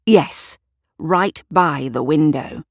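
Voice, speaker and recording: A woman's voice: deep voice, slow pace, dry recording, clean studio sound, heavily acted. A woman voicing a self-assured, nosy neighbour who gives an emphatic witness account in an audio drama. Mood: certain, defensive.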